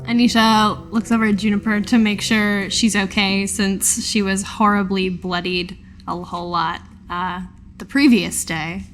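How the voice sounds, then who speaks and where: A woman's voice: mid-range voice, level tone, measured pace, fairly bright tone, soft background music. A woman on a tabletop game podcast, narrating her character's actions as a player.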